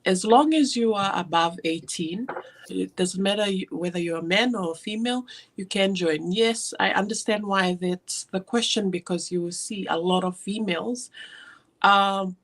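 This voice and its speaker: A woman's voice: medium pitch, normal speed. A woman from Fiji hosting a live online business stream, chatting casually with many fillers and restarts.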